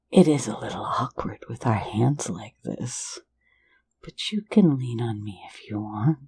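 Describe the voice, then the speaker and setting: A woman voicing a teasing, flirtatious phantom thief in an ASMR roleplay, in a soft, intimate whisper right at the mic. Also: very deep voice, husky, full character voice. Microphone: close mic for ASMR.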